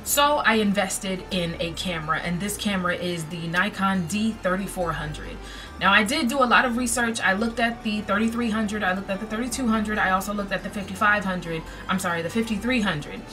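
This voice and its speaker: A woman in her thirties chats to camera from a dim bedroom, projecting her voice easily. She is picked up by the camera's own mic from a little way off. Music plays clearly in the background. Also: medium pitch, fairly quick, recorded from a distance, low-quality recording. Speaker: vlogger. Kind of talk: casual vlog to camera. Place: dim bedroom with string lights. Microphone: built-in camera mic.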